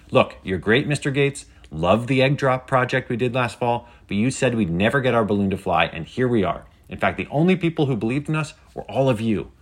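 A man voicing an excitable, geeky schoolgirl who chats brightly to livestream viewers in a children's story. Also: mid-range voice, pitched above the performer's own voice, lightly acted. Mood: excited, proud. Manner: bubbly, chatty, addressing viewers. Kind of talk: scripted children's audio story.

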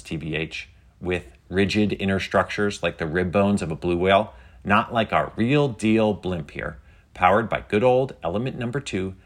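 A man voicing an excitable, geeky schoolgirl who chats brightly to livestream viewers in a children's story. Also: deep voice. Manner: bubbly, chatty, addressing viewers. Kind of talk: scripted children's audio story.

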